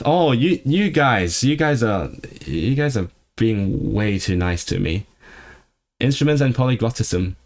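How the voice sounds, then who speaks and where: A man who is not a native English speaker, hosting a casual livestream and chatting with his viewers as he reads and answers the live chat. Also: on the low side. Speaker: livestream host.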